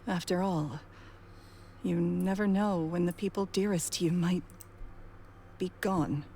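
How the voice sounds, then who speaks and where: A woman playing a gentle, wistful bereaved woman in a video game, reflective and measured. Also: fairly low pitch, slight background noise. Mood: tender sadness. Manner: soft-hearted, reflective, measured. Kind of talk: dramatic video game voice acting.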